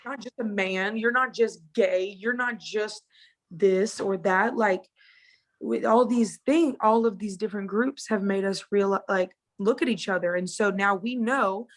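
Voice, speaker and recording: A woman who is a guest on a podcast, talking in a relaxed, rambling and reflective way. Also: medium pitch, unhurried. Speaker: podcast guest, social media creator. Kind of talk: casual interview chat.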